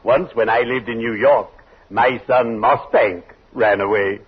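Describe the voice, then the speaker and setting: A ghoulishly jolly undertaker from 1940s radio comedy. He speaks in a solemn, stretched-out, theatrical way with a husky edge. The old recording is dull and muffled. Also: medium pitch. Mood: mock solemn. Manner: solemn, drawn-out, theatrical delivery with macabre puns. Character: morbidly jolly, pompous male undertaker friend.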